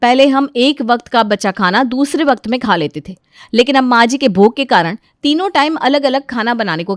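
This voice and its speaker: A high-voiced elder daughter-in-law , played by a woman, respectful yet exasperated. She pleads and complains as in a storybook family drama, in a close, dry recording. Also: no room sound. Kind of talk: acted dialogue in a moral story.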